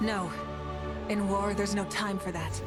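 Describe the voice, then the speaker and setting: A woman's voice: mid-range voice, fairly even intonation, a little slow, loud music behind the voice, natural voice. A woman voicing a confident, composed warrior ruler in a game cutscene, speaking steadily and resolutely. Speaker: game character.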